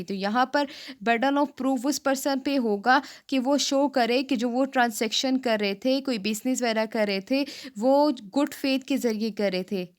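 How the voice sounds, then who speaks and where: A Pakistani woman giving an informal explanatory lecture, halting and repetitive, switching into English for technical terms. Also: on the high side. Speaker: law lecturer for exam aspirants.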